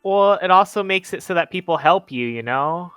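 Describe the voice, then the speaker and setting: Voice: mid-range voice, lively intonation, moderate pace, fairly bright tone, smooth, dry recording, soft background music. A player in a tabletop roleplaying game, speaking casually in character, earnest and a little defensive.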